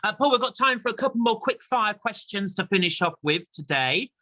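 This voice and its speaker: Bright, animated higher-voiced male host. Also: a little fast, no echo. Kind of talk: casual interview chat.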